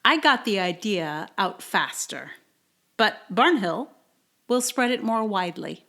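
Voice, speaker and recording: A woman in her forties speaks as a podcast host sharing a personal essay. Her pitch sits in the middle, and her delivery is conversational and wry. She is recorded on a portable mic in a house with a slight echo. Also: animated, unhurried, vocal fry, pushed and bright. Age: 40s. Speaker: podcast host reading own blog post. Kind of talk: casual intro, then read-aloud personal essay. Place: indoors in a sparsely cushioned house. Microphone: portable travel microphone.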